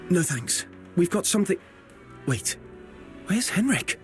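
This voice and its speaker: A man's voice: high-pitched, big pitch swings, measured pace, soft background music. A man playing an earnest, cautious young hero in a video game, with a restrained, polite delivery. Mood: practical.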